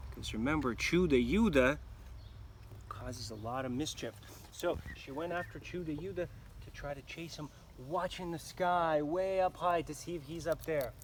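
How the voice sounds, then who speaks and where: A man telling a folktale live to children in an expressive, dramatic manner, pausing to ask his listeners questions. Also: on the high side. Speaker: storyteller. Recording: noisy live recording, clear background noise.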